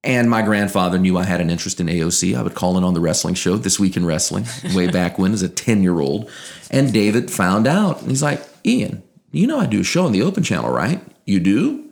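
A man in his thirties speaks in a low-pitched, fry-edged American voice with just a trace of Southern twang. His diction is careful and shaped like a broadcaster's, even as he chats and tells stories as an interview guest. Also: fairly quick. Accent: American, faint Southern twang. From Louisiana, United States. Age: 30s. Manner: precise, broadcaster-like enunciation. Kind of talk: conversational storytelling.

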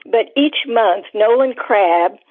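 A woman's voice: a little high, expressive, slow, husky. A middle-aged or older woman calling in to a program with an effusive testimonial. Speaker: caller, long-time subscriber. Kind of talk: appreciative call-in testimonial. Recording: tinny telephone line.

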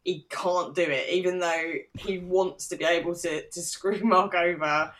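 A woman's voice: fairly low pitch, fairly even intonation, a little slow, fairly bright tone, slight background noise. A woman co-hosting a podcast and chatting in an amused way with lots of tag questions. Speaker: podcast co-host, parent. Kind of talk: casual chat.

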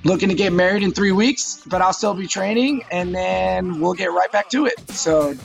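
A man's voice: sits high, normal speed, vocal fry, soft background music. A man in his thirties, a fighter talking casually in an interview, eager and often repeating words as he starts a phrase. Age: 30s. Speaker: MMA fighter guest.

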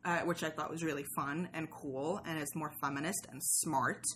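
A woman's voice: deep voice, steady tone, normal speed, a bit bright. A woman being interviewed as a podcast guest, explaining things casually in a rambling, slangy way. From Sweden. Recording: clearly noisy background ambience.

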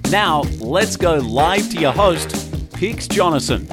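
Announcer: a man with a lively intonation and slow announcer phrasing, reading the show intro. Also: a little high. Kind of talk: scripted intro over music. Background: loud guitar music.

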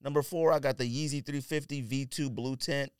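A man's voice: mid-range voice, fairly even intonation, a little fast, clean tone, no room sound, studio quality. A man co-hosting a podcast, chatting casually in a slangy, offhand way about his picks. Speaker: podcast co-host. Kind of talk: casual sneaker and sports podcast banter.

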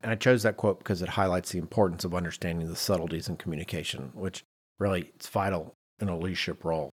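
Male podcast co-host, low register, conversational but emphatic explainer who repeats points for effect. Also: slightly rough. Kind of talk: conversational podcast discussion on leadership.

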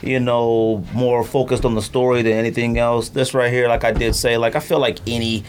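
A man's voice: mid-range voice, level tone, moderate pace. A man hosting a podcast in a casual, slangy, playful chat about sneakers. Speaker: podcast host. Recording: clearly noisy background.

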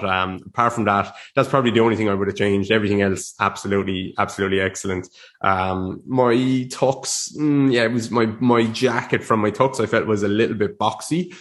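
A man's voice: lowish voice, fairly bright tone. A young man hosting his podcast in a casual, rambling chat, a little sniffly from a cold. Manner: rambling, chatty, with restarts and laughs. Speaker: podcast host.

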